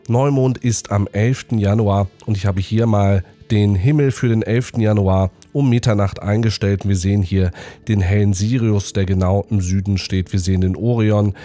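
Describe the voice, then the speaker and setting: Hoarse, nasal middle-aged man with a cold. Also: lowish voice. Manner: lively, animated presenting. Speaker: astrophotography channel host. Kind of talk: casual presenting, sky preview and prize draw. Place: home study indoors.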